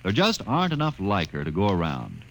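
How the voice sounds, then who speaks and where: A man's voice: low voice, wildly swooping pitch, brisk, vocal fry, a bit bright. A man delivering an earnest, persuasive public service appeal on 1950s radio.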